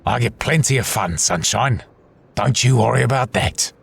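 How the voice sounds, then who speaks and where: A man's voice: medium pitch, normal speed, gravelly, husky, soft background music, pitched above the performer's own voice. A man voicing a grumpy, sarcastic, blunt space adventurer in an audio story. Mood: scornful. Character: grumpy, sceptical, foul-mouthed male space adventurer.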